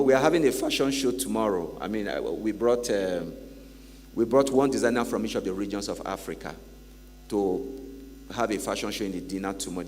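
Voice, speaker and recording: A man with a fairly low voice speaks on a conference panel. He talks emphatically, repeating phrases and leaning on "you know". The sound carries long hall reverb over a faint mains hum. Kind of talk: panel discussion remarks.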